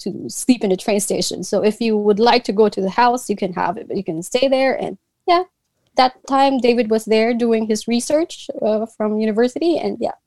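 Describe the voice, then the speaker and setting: A woman in her thirties from the Philippines talks as an interview guest, often pausing and restarting her sentences. Her voice is mellow and soft-edged, and the recording is dry and close, with no room sound. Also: on the high side, dry recording. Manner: hesitant, many restarts and fillers. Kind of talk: casual interview conversation.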